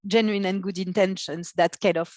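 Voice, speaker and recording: A woman's voice: on the low side, steady tone, normal speed, a little mellow, dry recording, clean studio sound. A woman answering as a podcast guest in a conversational, explanatory way. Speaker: podcast guest, marketing executive.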